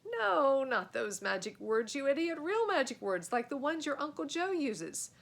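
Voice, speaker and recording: Storybook role: blunt, impatient kid, a woman playing him, urgent and bossy, high voice with lively swings. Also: moderate pace, creaky, full character voice. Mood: panicked, bossy. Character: Fred, blunt, bossy, impatient young boy. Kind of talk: children's storybook read aloud.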